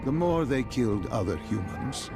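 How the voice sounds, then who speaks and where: Game role: philosophical, insistent male guide, formal and deliberate, expressive pitch movement, clear music underneath. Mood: probing, philosophical. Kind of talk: dramatic scripted game dialogue.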